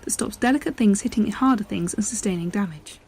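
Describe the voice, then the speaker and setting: A woman playing a brisk, logical young space traveller in a science fiction audio drama, speaking precisely with a slightly pert manner. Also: medium pitch, a little mellow, husky. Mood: brisk, focused.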